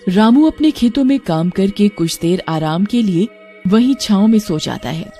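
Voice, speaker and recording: A woman narrates a cartoon tale in a mellow, medium-pitched voice. Her delivery is scripted storytelling, with faint music underneath. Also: animated, normal speed.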